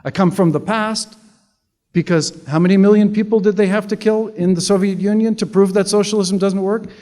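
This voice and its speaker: Male speaker from Canada, sarcastic stump-speech delivery, voice on the higher side, reverberant hall. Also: steady tone, fairly quick, darkish tone. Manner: sarcastic, conversational, repeats words when restarting. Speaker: public speaker. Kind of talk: political speech to an audience.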